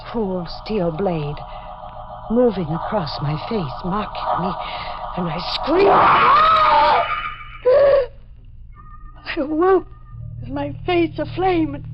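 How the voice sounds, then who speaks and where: An actress playing a bitter, haunted, jealous young woman in a melodramatic 1940s radio horror style. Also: on the high side. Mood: terrified. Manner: brooding, melodramatic first-person storytelling. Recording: dull vintage radio recording with faint music.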